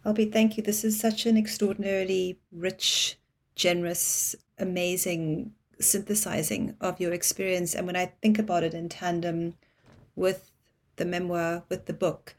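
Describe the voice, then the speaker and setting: A woman hosts an interview and responds to her guest. Her voice sits on the low side, and she speaks in a warm, appreciative way. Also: flat delivery, normal speed. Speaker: host.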